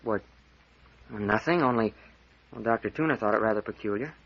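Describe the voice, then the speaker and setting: An actor playing a nervous, excitable young college student in an old-time radio mystery, speaking with urgency. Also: male voice, on the low side, moderate pace, vocal fry. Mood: puzzled, suspicious. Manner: urgent, anxious. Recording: dull vintage radio recording.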